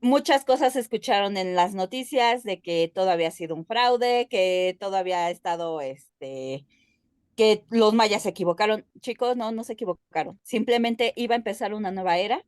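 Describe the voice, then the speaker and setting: A woman's voice: mid-range voice, expressive, moderate pace, a little mellow, clean tone, dry recording, clean studio sound. A woman co-hosting a podcast, explaining things casually and addressing listeners directly. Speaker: podcast co-host.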